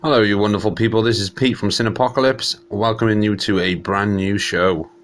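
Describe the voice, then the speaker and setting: A man's voice: on the low side, steady tone, a little fast, a little hiss or hum. A man hosting a solo podcast, congested from a cold, rambling and opinionated. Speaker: podcast host. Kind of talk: solo casual podcast chat.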